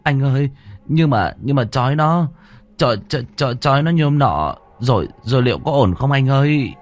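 A man's voice: mid-range voice, lively intonation, moderate pace, pitched above the performer's own voice, natural voice. A man doing a nervous stammering young villager. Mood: hesitant. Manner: stammering, hesitant.

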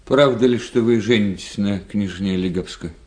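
Wry, droll doctor with a lowish voice man acting. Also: moderate pace, darkish tone, close to an everyday voice. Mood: prying, gossipy. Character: wry, chatty, worldly bachelor doctor, friendly and teasing. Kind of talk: Russian radio drama acting.

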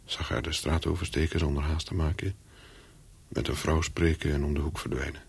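An actor playing a brooding former secret agent in a radio play, husky and measured, haunted by his memories. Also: very deep voice, flat delivery, heavily acted. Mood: brooding, subdued. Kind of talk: radio play acting with first-person narration.

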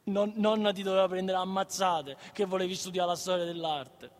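An actor playing a stubborn, naive, troubled son in a radio drama, stammering and halting, with a southern Italian, Neapolitan accent. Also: male voice, high voice, fairly even intonation, lightly acted. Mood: opinionated. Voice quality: stammering, repeats first syllables. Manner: halting, childlike, insistent.

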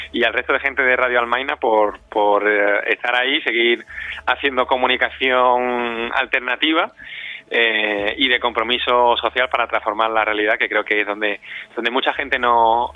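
A man's voice: mid-range voice, no echo. A man speaks as a radio interview guest. He gropes for words and doubles back on them, in a dry, slightly muffled lo-fi recording. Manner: halting, searching for words, repeats words. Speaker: interview guest, audio fiction director. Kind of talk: spontaneous interview answers.

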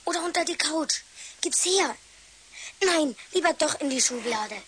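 A woman's voice: high voice, expressive, measured pace. A woman playing an earnest schoolchild in a children's radio play. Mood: earnest, secretive. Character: earnest schoolchild discussing Christmas presents. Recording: slightly hissy old radio recording.

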